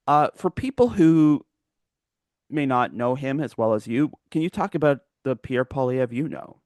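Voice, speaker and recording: A man's voice: mid-range voice, animated, normal speed, a little mellow, no echo, clean studio sound. A man hosting a casual political interview. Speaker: interview host.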